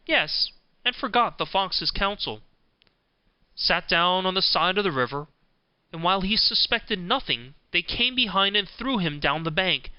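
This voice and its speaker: A man narrating a scripted fairy tale as an audiobook, in a measured storybook manner. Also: high voice, lively intonation, clean tone, no room sound, muffled recording.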